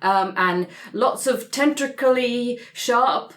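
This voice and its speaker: A woman's voice: fairly high pitch, takes it slowly, fairly bright tone. A woman playing a wary, wry young woman in a tabletop game, speaking casually and tentatively. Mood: uneasy. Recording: slightly roomy sound.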